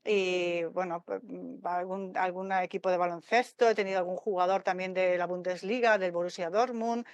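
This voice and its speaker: A woman's voice: fairly low pitch, moderate pace, no room sound. A woman from Spain, a tennis mental coach, chatting animatedly in an interview over a video call from home. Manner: chatty, animated, laughs easily. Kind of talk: casual interview talk. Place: home by the sea.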